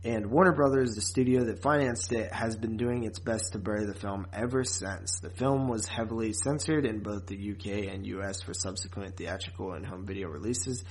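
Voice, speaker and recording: A man co-hosting a podcast in a casual, chatty way, often pausing and repeating words as he thinks. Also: on the low side, poor audio. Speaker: podcast co-host.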